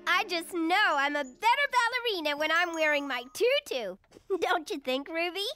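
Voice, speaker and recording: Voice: extremely high pitch, animated, normal speed, sharp tone, dry recording, faint music behind, full character voice. A performer voicing an eager, giggly young girl bunny in a children's cartoon, enthusiastic and agreeable. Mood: excited.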